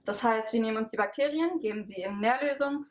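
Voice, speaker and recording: A woman's voice: on the high side, fast talker. A woman presenting as a scientist in an educational film, talking through steps in semi-scripted dialogue. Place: laboratory. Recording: faint background noise with clicks.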